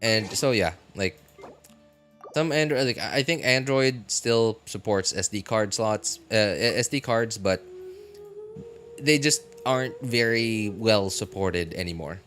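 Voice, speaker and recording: A man talking casually on a live game stream in a rambling, halting way, often correcting himself. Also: fairly low pitch, fairly bright tone, soft background music.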